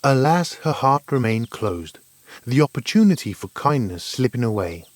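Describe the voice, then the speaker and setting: A man's voice: medium pitch, sing-song, moderate pace, dry recording. A man narrating a scripted fairy tale in an earnest, dramatic storytelling manner.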